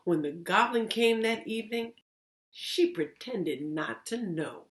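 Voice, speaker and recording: A woman narrating a children's story as a reading teacher, deliberate and theatrical in her phrasing. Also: on the high side, expressive. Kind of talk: expressive children's read-aloud narration.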